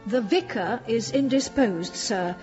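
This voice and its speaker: An actress playing a fussy, deferential, pious church housekeeper in a period radio drama, polite and easily flustered. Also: female voice, on the high side, a little slow, darkish tone, faint music behind, natural voice. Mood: chatty, deferential. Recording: reverberant church, slightly dull sound.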